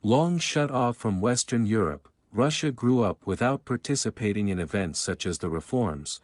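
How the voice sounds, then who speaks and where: A man's voice: fairly low pitch, a little mellow, no echo, clean studio sound. A man reading a prepared passage aloud as a model for exam practice. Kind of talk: scripted read-aloud narration.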